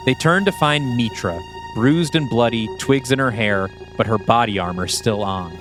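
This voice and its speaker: A man's voice: mid-range voice, moderate pace. A man reading scripted, screenplay-style narration for an audio play. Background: background music.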